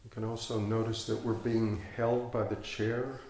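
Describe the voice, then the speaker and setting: A man with a low, creaky voice leads a guided meditation. His delivery is hushed and very even, with long unhurried pauses between instructions. The room echoes around him. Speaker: meditation teacher. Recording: roomy echo, faint background noise.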